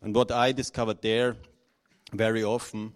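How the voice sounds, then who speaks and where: A middle-aged man from Austria, speaking unscripted to an audience, halting and nervous, with many restarts and fillers. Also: fairly low pitch, flat delivery. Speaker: conference speaker.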